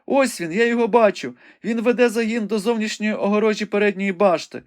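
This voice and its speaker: A man's voice: high voice, a little fast, voice pushed up, natural voice. A man voicing a young woman who watches a battle from a window and cries out what she sees. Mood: urgent, fervent. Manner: breathless, exclamatory reporting. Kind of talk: audiobook reading.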